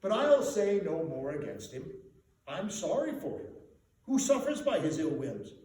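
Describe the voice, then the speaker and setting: A man playing a cheerful, good-hearted young nephew with a hearty, animated manner in a storybook reading. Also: on the high side, a little slow. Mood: good-natured. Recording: echoey room, distant mic, faint noise.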